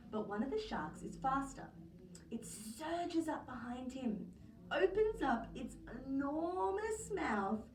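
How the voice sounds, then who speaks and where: Lady teacher reading a kids book, super animated, bit far from the mic, faint noise. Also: on the high side, reverberant. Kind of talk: animated children's storybook narration.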